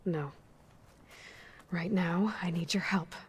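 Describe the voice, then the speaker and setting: A woman's voice: lowish voice, slow pace, slightly rough, full character voice. An actress playing a determined, commanding young warrior woman in a video game, with an American accent and blunt, assertive delivery. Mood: stern, threatening. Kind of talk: video game cutscene acting.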